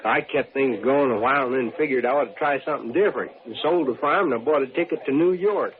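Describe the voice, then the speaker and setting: A man's voice: medium pitch, fast talker, natural voice. An actor playing an earnest, naive young farm boy in a radio drama, speaking in a hesitant, eager, polite way. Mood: shy, earnest. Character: naive, earnest 25-year-old farm boy new to the city. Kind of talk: 1950s radio drama acting. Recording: tinny, narrow-band old radio recording.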